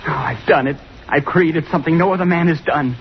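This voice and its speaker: An actor playing an obsessed young student inventor, gloating and feverish, in old-time radio melodrama on a muffled, noisy recording. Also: male voice, fairly high pitch, a little fast, natural voice. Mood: gloating, triumphant. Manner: feverish, awestruck, repetitive exclaiming.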